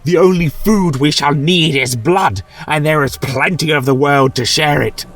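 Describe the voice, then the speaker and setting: A man's voice: fairly high pitch, a little slow, a bit bright, soft background music, voice pushed up. A man voicing a proud, vengeful, bestial vampire in a grandiose, declamatory manner for an audio fantasy tale. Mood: defiant zeal.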